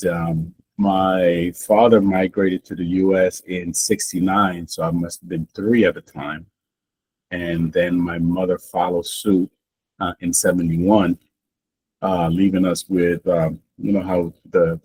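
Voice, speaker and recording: A Honduran-born man in his sixties whose first language is Garifuna, telling his life story in an interview in a relaxed, rambling way with many fillers and restarts. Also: deep voice, a little hiss or hum, rough recording. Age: 60s. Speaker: guest.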